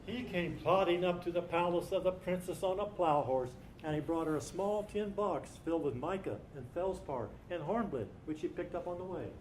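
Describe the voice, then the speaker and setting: Very high-voiced male storyteller. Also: moderate pace, few pauses, darkish tone, a little hiss or hum, low-quality recording. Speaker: narrator in a staged reading. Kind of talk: dramatized literary storytelling. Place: live staged reading in a room.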